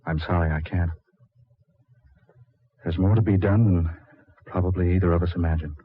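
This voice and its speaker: An American actor playing a solemn, subdued, doomed husband in a 1940s radio horror play. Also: male voice, low voice, steady tone, normal speed, breathy edge. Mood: grave resolve. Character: grave, doomed husband returned from death, bound by bargain. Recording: muffled old 1940s radio recording.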